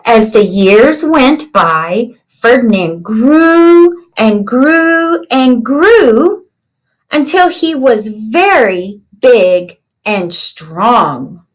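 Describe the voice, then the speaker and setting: Slow, high-voiced woman reading to children. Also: big pitch swings. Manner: simple, explanatory, checks in with young listeners. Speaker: teacher reading aloud to children. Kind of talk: children's storybook read-aloud with explanations.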